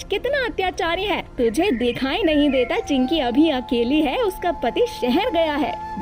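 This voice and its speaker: Woman as cartoon village elder parrot: male, scolding, indignant, high-pitched, music behind.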